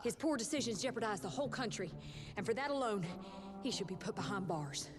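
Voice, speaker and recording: A woman's voice: medium pitch, expressive, fairly quick, close to an everyday voice. An actress playing a forthright, feisty woman doctor in an action video game, blunt and assertive. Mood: indignant. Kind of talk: video game cutscene acting. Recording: very loud music underneath.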